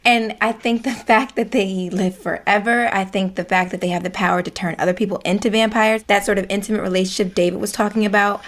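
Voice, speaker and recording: An actress chats as a guest in a casual discussion. Her voice is mid-range, and she speaks in an expressive, emphatic way. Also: mid-range voice. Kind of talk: casual post-show discussion.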